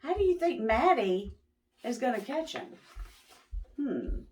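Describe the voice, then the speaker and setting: A woman reading a picture book aloud to young children as host, expressive and pausing to ask questions. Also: on the high side.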